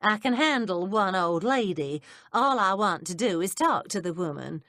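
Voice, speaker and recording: A woman voicing a bold, forthright lady who speaks confidently and gently. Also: medium pitch, animated, dry recording, clean studio sound. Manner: brisk, confident, gentle with the old.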